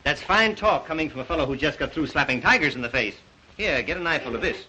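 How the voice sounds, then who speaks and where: A man's voice: on the high side, lively intonation, fast talker, sharp tone, poor audio. An actor playing a jovial, teasing male yacht passenger who needles his friends, in 1930s film style. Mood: mocking.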